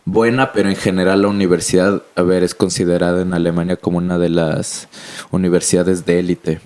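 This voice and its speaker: A man's voice: low-pitched, flat delivery, a little fast, a little mellow. A young man from Quito with an Ecuadorian accent, co-hosting a podcast in a rambling, chatty way that keeps correcting itself. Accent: Ecuadorian Spanish, from Quito. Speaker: podcast co-host. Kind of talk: casual chat.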